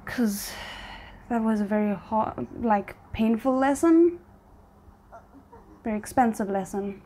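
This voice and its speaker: Higher-voiced woman reacting casually. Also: lively intonation, slow, dark tone. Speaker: reaction video host.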